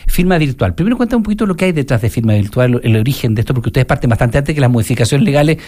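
A man's voice: mid-range voice, animated, very fast, darkish tone. A Chilean man hosting a radio interview in a chatty way, often cutting in on his guest. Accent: Chilean Spanish. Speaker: radio show host.

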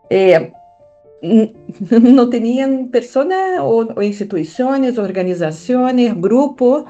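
A woman's voice: a little high, mellow, muffled recording. A middle-aged woman from Brazil with a Brazilian Portuguese accent, a psychologist answering interview questions in a reflective manner with many hesitant fillers. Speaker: psychologist, foundation founder.

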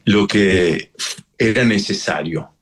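Male singer, lowish voice, reflective and explanatory interview guest. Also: slow. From Chile. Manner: thoughtful, explanatory, with frequent fillers. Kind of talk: conversational radio interview.

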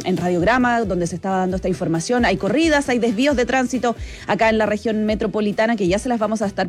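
Chilean woman hosting radio over music. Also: mid-range voice. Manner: conversational, addresses listeners and colleague directly. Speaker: radio host. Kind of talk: chatty live radio presenting. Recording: music bed behind studio radio voice. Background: background music.

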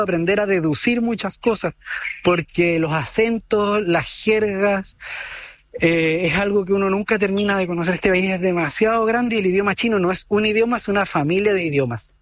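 A man's voice: high-pitched, dry recording. A Chilean man in his late thirties with a Chilean accent, answering interview questions thoughtfully with many fillers and restarts. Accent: Chilean Spanish. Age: 30s. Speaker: interviewee, student abroad. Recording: dull lo-fi sound.

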